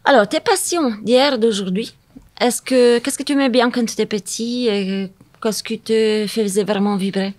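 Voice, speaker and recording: A woman interviewing a colleague for a corporate videocast, moving through her questions in a brisk, friendly way. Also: fairly high pitch, a little mellow.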